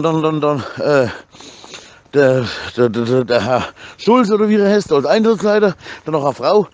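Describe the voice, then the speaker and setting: A man's voice: on the high side, darkish tone. A man chatting casually in an agitated, rambling way, stammering over repeated words, with slangy, crude swearing. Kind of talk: casual chat, agitated rant.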